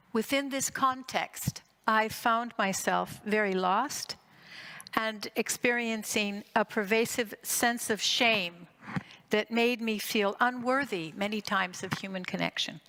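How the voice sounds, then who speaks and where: A woman in her sixties from Greece and Canada gives a prepared conference talk as a psychotherapist, phrasing deliberately with emphatic repetition. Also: on the high side, sharp tone. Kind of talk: prepared conference lecture with personal story.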